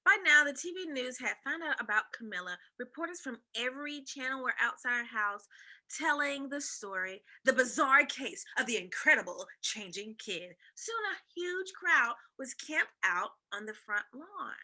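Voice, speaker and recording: A woman narrates a children's storybook with lots of expression. Her voice is high and very bright, swinging animatedly up and down as she reads. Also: animated, normal speed. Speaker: read-aloud reader.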